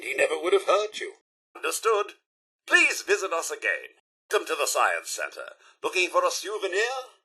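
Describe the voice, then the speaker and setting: Video game robot butler, British, bright forceful tone, husky edge, formal, overwrought, theatrical. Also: male voice, high voice, full character voice. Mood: regretful, apologetic, then bright, promotional. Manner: formal, theatrical, posh politeness. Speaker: robot servant. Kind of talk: scripted video game character lines.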